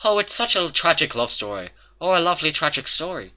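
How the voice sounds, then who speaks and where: A man's voice: on the high side, big pitch swings, fairly quick, lo-fi sound, voice pushed up. A man voicing a sentimental, gossipy married female baker pony who tells tales dramatically in a cartoon fan story.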